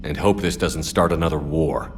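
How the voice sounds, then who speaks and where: A man's voice: very deep voice, normal speed, slight background noise. A man playing a firm, decisive human military commander in a video game, blunt and controlled. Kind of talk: cinematic video game acting. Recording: game music behind.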